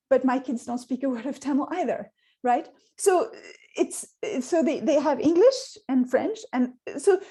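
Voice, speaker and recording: A woman whose first language is Swedish answers audience questions as a scientist. Her voice sits a little high but sounds warm and mellow. She talks thoughtfully, with frequent fillers and restarts. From Sweden. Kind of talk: online Q&A answer.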